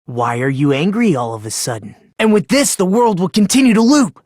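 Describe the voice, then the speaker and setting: Breathy young anime game guy, done by an actor, creepy polite, puts himself down, hope obsessed, theatrical, dry close recording. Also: medium pitch, lively intonation, fairly quick, a little mellow, no echo, lightly acted. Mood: smug, theatrical. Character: eerily polite young man, self-deprecating, obsessed with hope. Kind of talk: anime-style video game voice acting.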